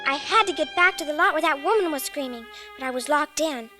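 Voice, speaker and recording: A woman's voice: high-pitched, expressive, brisk, music in the background. A young actress playing a stubborn, frightened little girl in a vintage radio drama, pleading and tearful. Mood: narrating. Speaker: child lead in radio drama. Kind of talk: 1940s radio acting. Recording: old radio broadcast with noise.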